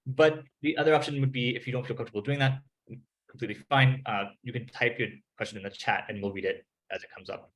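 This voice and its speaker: Man, panelist, academic discussion, halting and searching, video call. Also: medium pitch, steady tone, fairly bright tone, poor audio. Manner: searching, halting with many restarts.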